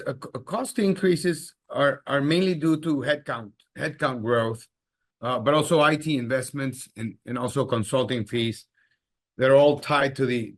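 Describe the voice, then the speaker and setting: Halting male executive explaining results. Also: medium pitch. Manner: halting, repeats words. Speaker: company executive. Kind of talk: earnings call answers, explanatory.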